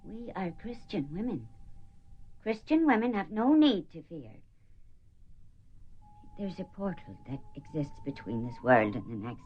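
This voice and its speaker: Low, swooping, solemn spirit medium. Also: female voice. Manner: solemn, insistent, dramatic pauses. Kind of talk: radio drama acting. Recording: muffled radio play recording, noisy ambience.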